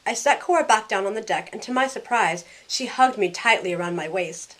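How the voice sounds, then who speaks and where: Woman as young woman, medium pitch, wry, self-questioning, first-person storytelling, audio drama. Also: brisk, a bit bright, close to an everyday voice. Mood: bright, playful.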